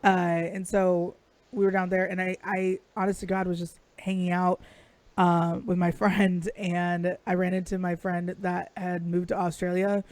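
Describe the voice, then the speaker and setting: Woman, raspy, swears freely, dry close sound, chatty storyteller. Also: fairly low pitch, flat delivery, moderate pace, a little mellow, no echo. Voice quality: raspy today. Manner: profane, storytelling. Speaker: podcast co-host. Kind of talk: casual chat.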